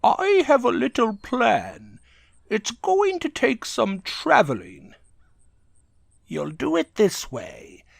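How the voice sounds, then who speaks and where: A man voicing a scheming, gloating villain boss in a cartoon comic dub, with a sly, drawn-out delivery. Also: sits high, wildly swooping pitch, vocal fry, dry recording, clean studio sound, exaggerated voice. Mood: sly, plotting.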